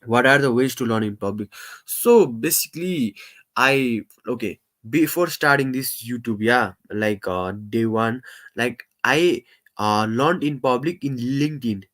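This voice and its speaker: A man hosting a casual live stream, talking in halting English full of fillers and restarts, and he says he stammers. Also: medium pitch, lively intonation, fairly bright tone. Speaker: livestream host.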